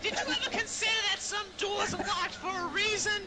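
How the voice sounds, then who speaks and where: A man voicing a flustered, eccentric old professor in an exaggerated squeak for an amateur radio play. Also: a little fast, loud music behind the voice. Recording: very noisy home voice-chat recording.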